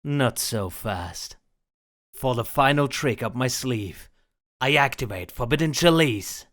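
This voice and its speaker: A man's voice: medium pitch, lively intonation, slow pace, fairly bright tone. A man playing a confident, grandiose card duelist in an audio drama, formal and defiant. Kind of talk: fan audio drama acting.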